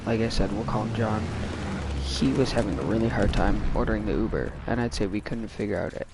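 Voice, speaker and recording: A man's voice: fairly low pitch, brisk, gravelly, very noisy. A young man telling a casual, rambling storytime into a microphone, full of fillers and restarts. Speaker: storytime vlogger. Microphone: desk microphone. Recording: loud game music underneath.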